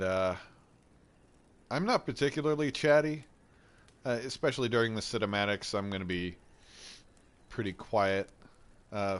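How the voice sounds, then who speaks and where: A young man with a head cold, chatting casually and swearing as he introduces a game playthrough. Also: lowish voice. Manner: laid-back, rambling, swears casually. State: stuffy, has a head cold. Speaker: gameplay streamer. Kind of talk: casual gaming intro chat.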